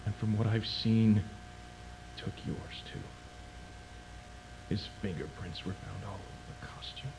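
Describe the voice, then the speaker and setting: A man playing a grieving, vengeful father in a somber, halting, dramatic found-footage monologue, with a noisy, humming sound. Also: lowish voice, fairly even intonation, in a whisper. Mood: bitter, vengeful. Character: grieving, vengeful father recording a tape for his dead child. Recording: noisy, humming tape-like recording.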